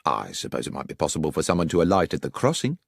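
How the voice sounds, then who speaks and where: A man voicing a sharp, precise gentleman detective who questions people with dry irony. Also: a bass voice, sing-song, fast, heavily acted. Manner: crisp, probing, dryly ironic. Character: sharp, precise male detective, middle-aged.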